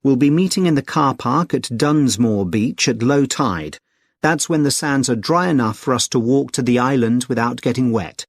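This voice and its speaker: A British man acting as a friendly conservation group secretary, recorded close and dry. His pitch is middling and he explains things politely and clearly in a measured, scripted everyday conversation. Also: dry recording, lightly acted. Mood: helpful, informative. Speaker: actor in a listening test dialogue.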